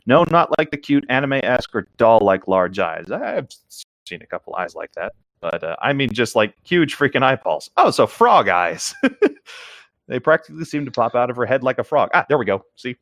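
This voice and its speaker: A man's voice: medium pitch, very animated, moderate pace, a bit bright, no room sound, studio quality. A man reading aloud and chatting casually, with informal, hesitant asides and mild swearing. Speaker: podcast co-host reading a story aloud.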